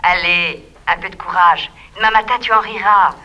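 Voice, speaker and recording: A woman playing a calm, reassuring detective's assistant speaking on the telephone in a 1960s French radio drama. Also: on the low side, lo-fi sound. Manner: reassuring, brisk, dismissive of fears.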